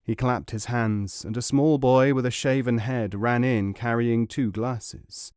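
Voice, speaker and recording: A man narrating a novel as an audiobook, reading in a measured, even way. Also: mid-range voice, clean tone, dry recording, clean studio sound.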